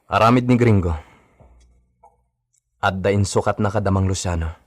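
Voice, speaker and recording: An actor playing an earnest, conciliatory young hacienda man, a dutiful son, in an Ilocano radio drama with a husky voice. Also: on the low side, normal speed, lightly acted.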